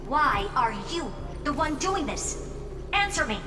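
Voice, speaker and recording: A sharp, commanding genius from a video game, her voice high and exacting. She speaks assertively and demands answers, heard from a distance in a big reverberant space. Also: sing-song, measured pace. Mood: angry, demanding. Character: sharp, commanding female genius confronting a villain. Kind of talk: dramatic scripted video game voice acting. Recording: distant, reverberant hall echo over music.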